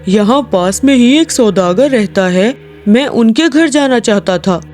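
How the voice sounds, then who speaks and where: An actor playing a poor, earnest woodcutter in a cartoon story, with music behind. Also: male voice, extremely high pitch, expressive, a little slow, darkish tone. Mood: nervous, insistent.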